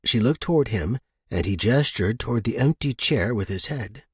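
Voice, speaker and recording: A man's voice: mid-range voice, expressive, moderate pace, breathy edge, no echo, studio quality. A man reading scripted fiction as an audiobook narrator.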